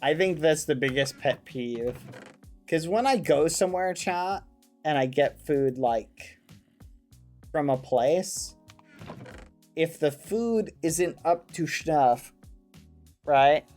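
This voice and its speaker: A man's voice: a little high, expressive, takes it slowly, long pauses, darkish tone, soft background music. A man live streaming, chatting casually with his viewers in a rambling, playful, mock-indignant way. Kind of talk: casual chat with stream viewers while gaming.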